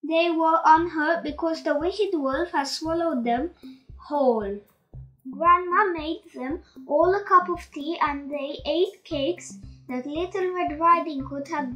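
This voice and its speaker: A woman with a high voice reads a children's story aloud at a slow, deliberate pace. She enunciates each phrase for young listeners, with music playing behind her. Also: lo-fi sound. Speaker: storyteller and quiz host.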